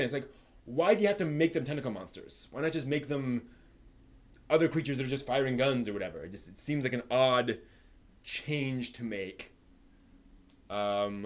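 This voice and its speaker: A man with a medium-pitched voice hosts a live stream in an unscripted, conversational style. He lays out opinions in a discursive way, halting and repeating words while he works out each thought aloud. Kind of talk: unscripted opinionated chat about books and films.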